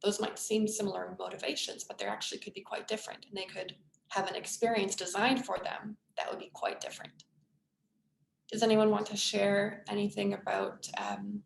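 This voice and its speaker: A woman's voice: mid-range voice, normal speed, creaky, reverberant, slight background noise, poor audio. A woman facilitating an online workshop, speaking thoughtfully with frequent fillers and restarts over a video call.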